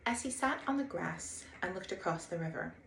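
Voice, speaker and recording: A woman's voice: on the low side, animated, a little fast, creaky, fairly bright tone. A woman hosting a read-aloud, narrating a children's storybook expressively. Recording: roomy echo, faint background noise.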